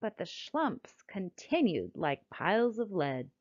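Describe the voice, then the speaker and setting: A middle-aged American woman reads a scripted text aloud as a children's author, in a deliberate, expressive storytelling manner. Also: mid-range voice. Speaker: children's book author reading her own book. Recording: dull, lo-fi sound.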